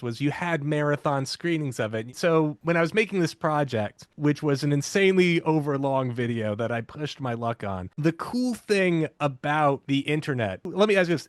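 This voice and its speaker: A man's voice: a little high, no room sound, studio quality. A man hosting a casual interview, talking in an enthusiastic, informal way. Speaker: host.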